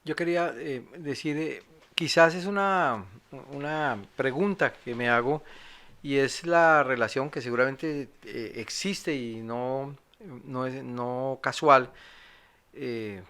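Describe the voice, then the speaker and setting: A man with a clear, smooth voice at a medium pitch. He thinks aloud in an academic discussion, hesitating often and building long, searching sentences. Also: takes it slowly, fairly bright tone. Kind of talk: reflective panel discussion.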